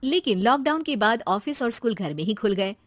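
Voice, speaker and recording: A woman's voice: on the high side, animated, fairly quick, dry recording. A woman narrating a scripted cartoon story as its storyteller.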